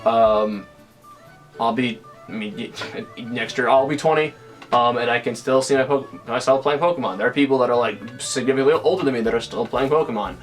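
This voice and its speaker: A young man in his late teens chats to his camera in an easygoing, rambling way, circling back and restarting his sentences as he thinks aloud. His voice sits at an ordinary middle pitch, with a little music playing faintly behind him. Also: brisk. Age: young adult. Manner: rambling, full of restarts and fillers. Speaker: YouTuber. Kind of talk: casual vlog talk.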